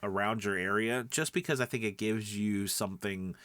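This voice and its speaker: A man's voice: on the low side, normal speed, fairly bright tone, dry recording. A man with a stuffed-up nose giving an informal, conversational lesson as a voice-over coach, speaking emphatically and often checking in with the listener. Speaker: voice-over coach and podcast host. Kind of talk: informal instructional talk to camera.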